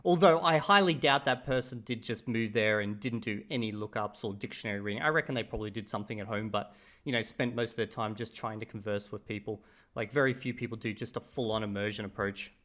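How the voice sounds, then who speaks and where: An Australian man hosting his channel, giving relaxed, opinionated commentary on another creator's video. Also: lowish voice, fast. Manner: relaxed, opinionated, chatty asides. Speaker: channel host reviewing a video. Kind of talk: casual commentary.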